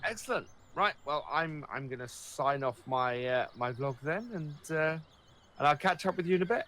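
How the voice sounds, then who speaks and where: Bright, animated man chatting. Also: fairly high pitch, normal speed. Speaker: co-host of a gaming video series. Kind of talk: casual role-play chat.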